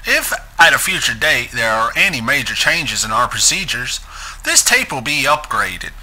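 A man's voice: mid-range voice, big pitch swings, normal speed, bright, forceful tone, rough recording. A man giving a dramatic reading of a script as its narrator. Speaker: narrator reading a transcript aloud.

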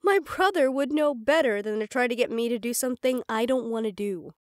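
A woman's voice: fairly high pitch, a little fast, no room sound, studio quality, natural voice. A woman playing a stubborn, sarcastic young goddess in an audio roleplay drama, teasing and defiant.

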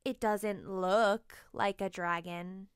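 A woman voicing an excitable, curious young schoolchild in an emphatic, childlike storybook manner. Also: medium pitch, slow, smooth, no echo, clean studio sound. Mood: skeptical.

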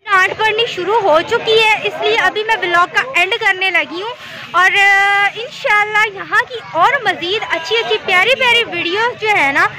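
A woman's voice: very high-pitched, moderate pace. A young woman with a Pakistani accent, chatting effusively as she hosts a casual vlog from a busy bazaar, recorded on a phone at arm's length. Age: young adult. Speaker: vlogger, host. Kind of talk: casual chatty market tour. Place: busy outdoor covered bazaar. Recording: busy street crowd and traffic noise.

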